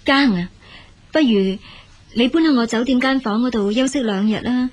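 An actress plays a capable elder daughter in a Cantonese radio drama. Her Hong Kong Cantonese is fairly high-pitched, firm and reassuring, with a caring, decisive manner. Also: moderate pace, natural voice.

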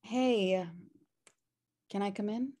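A woman's voice: medium pitch, slow pace, mellow, lightly acted. A woman playing a messy, guilt-ridden, wry sister in a sitcom table read, rambling hesitantly. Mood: apologetic, wry. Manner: rambling, hesitant, self-deprecating. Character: messy, guilt-ridden, wry younger-at-heart woman pleading for her brother. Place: home room on a video call.

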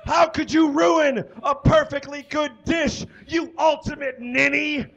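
Male reader as an outraged man in a comic story: high, hoarse shouting, melodramatic, slightly dull live recording. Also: fairly even intonation, measured pace, exaggerated voice. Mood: furious. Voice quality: hoarse yelling. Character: furious man yelling in absurd melodramatic outrage.

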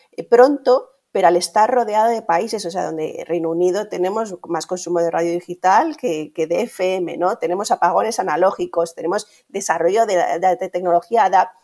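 Female interview guest, middle-aged, Castilian Spanish, lowish pitch, conversational and explanatory, tags phrases with questions. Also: a little mellow. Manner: explanatory, often ends phrases with a tag question. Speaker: guest, radio industry manager. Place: indoor room at home, on a video call.

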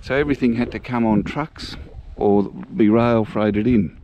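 A man giving a relaxed, casual walking tour outdoors, thinking aloud as he goes. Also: medium pitch, unhurried, dull audio, slight background noise. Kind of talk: casual walk-and-talk narration. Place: outdoors walking through a small country town.

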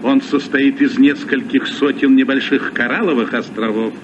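An actor playing a sensible, knowledgeable sea captain in a vintage radio play, with an explanatory, declaimed delivery. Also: male voice, mid-range voice, steady tone, normal speed, natural voice. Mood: informative. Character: sensible, well-informed veteran sea captain. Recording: muffled, noisy old radio recording.

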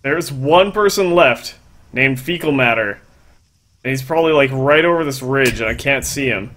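A man's voice: mid-range voice, lively intonation, moderate pace, fairly bright tone. A man in his thirties streaming a game from his desk on a headset, chatting casually and teasing his friends. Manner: joking, teasing banter with friends. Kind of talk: casual gaming stream chat. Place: small indoor room at a desk.

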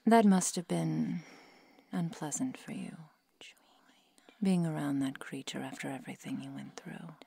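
Woman acting a slow, whispery, low-voiced vampire seductress. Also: creaky, breathy edge, no room sound, full character voice. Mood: concerned. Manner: slow, deliberate, hushed and coaxing. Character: protective, coolly menacing female vampire seductress. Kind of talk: ASMR roleplay, intimate whispering.

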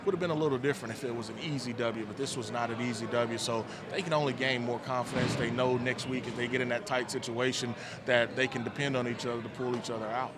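A man in his forties, a former player, giving relaxed postgame football analysis into a handheld mic on a studio set. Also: medium pitch, fast, hardly any pauses, over a noisy crowd. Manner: relaxed, thoughtful, many "you know" fillers. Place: studio set with team backdrop, indoors. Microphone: handheld microphone.

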